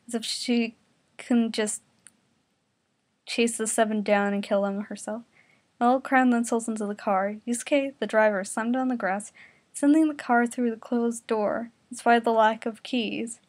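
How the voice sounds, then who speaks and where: A woman's voice: fairly high pitch, steady tone, moderate pace, no room sound. A woman narrating a comic fan fiction parody, reading it aloud as storyteller.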